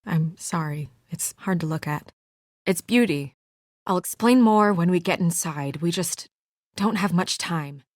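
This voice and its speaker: Woman acting serious young lady official from a video game, kinda low voice, businesslike but worried, guarded, natural delivery, dry close sound. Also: measured pace, darkish tone, no room sound, natural voice. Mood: worried, subdued.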